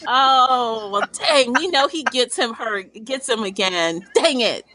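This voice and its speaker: A woman talking casually as a panelist in a podcast book discussion, with an animated, exclamatory and slangy way of speaking. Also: fairly high pitch, takes it slowly, poor audio.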